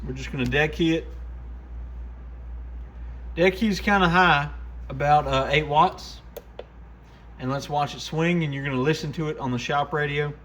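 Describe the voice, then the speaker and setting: A man's voice: a little high, moderate pace, long pauses, a bit bright, a little hiss or hum. A man hosting a casual hands-on product demo, thinking aloud informally as he tinkers. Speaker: radio shop reviewer and video host.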